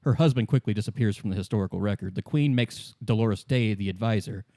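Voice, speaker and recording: A man narrating as game master, explaining fictional lore in a measured, solemn and deliberate storytelling manner. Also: lowish voice, dark tone, dry recording, clean studio sound. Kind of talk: improvised lore exposition in a tabletop game.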